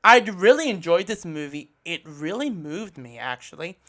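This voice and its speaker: A man's voice: high-pitched, big pitch swings, normal speed, a bit bright. A man from Australia hosting a podcast in a casual solo talk, earnest and enthusiastic, often repeating and rephrasing as he goes. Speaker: podcast host. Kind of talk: casual podcast monologue, reviewing anime.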